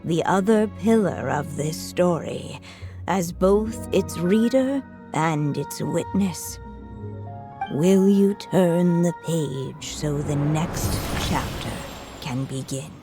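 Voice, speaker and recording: A woman voicing a tender, fading magical echo of a mother in a video game, speaking gently and solemnly like a storyteller. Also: lowish voice, slow pace, music in the background. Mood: solemn, loving. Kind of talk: dramatic video game voice acting.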